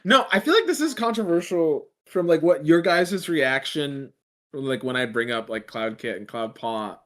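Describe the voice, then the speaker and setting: A man talking casually as a panelist in a book club discussion, animated and swearing now and then. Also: fairly high pitch, moderate pace.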